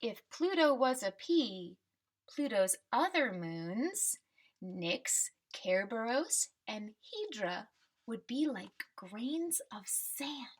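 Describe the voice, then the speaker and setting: A woman with a high, breathy-husky voice reads aloud to children as a teacher would. Her pitch moves in an animated way and her pace is unhurried. She explains things simply and deliberately. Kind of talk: children's picture book read-aloud and simple lesson.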